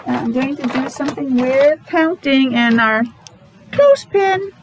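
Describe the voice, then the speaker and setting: Lady teacher doing counting with little kids, kinda high voice, asks questions and encourages, bit of noise behind. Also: lively intonation, unhurried. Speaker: preschool teacher. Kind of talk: children's counting lesson.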